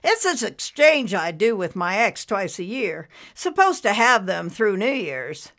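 A woman reading aloud, voicing a thin, balding man who talks in a gruff, stubborn, colloquial way. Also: medium pitch, big pitch swings, a little slow, fairly bright tone, pitched above the performer's own voice. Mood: grumbling. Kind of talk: storybook character voice.

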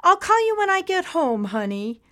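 A woman reading aloud, voicing an anxious older grandmother who pleads in a high, wavering voice. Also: sing-song, normal speed, bright, forceful tone, heavily acted. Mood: forced cheer. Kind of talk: storybook character voice.

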